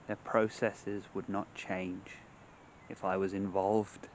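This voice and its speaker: Slow, low-voiced man guiding meditation. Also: little pitch movement, slight background noise. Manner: measured, short phrases with long pauses. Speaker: meditation guide. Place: outdoors among trees.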